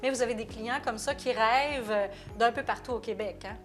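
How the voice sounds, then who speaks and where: A woman's voice: a little high, fairly quick, a bit bright, soft background music. A woman with a Québécois French accent hosting a TV interview, chatty and full of hesitations and restarts. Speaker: TV host. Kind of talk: casual interview.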